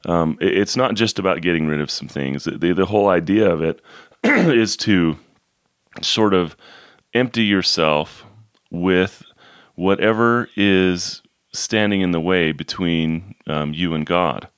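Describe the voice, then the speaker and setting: A hoarse man with a very low voice co-hosts a casual podcast chat. He talks haltingly, restarting his words and leaning on fillers. The recording is dry and close. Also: no echo. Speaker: podcast co-host.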